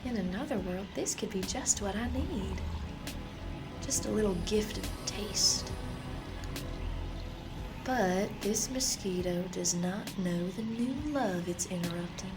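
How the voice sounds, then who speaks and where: Obsessive dreamy lover, female, radio play monologue, unhurried delivery, breathy half-whispers, prominent music bed. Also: medium pitch. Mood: dreamy, tender. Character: dreamy woman obsessively in love with a tick. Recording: music under the voice.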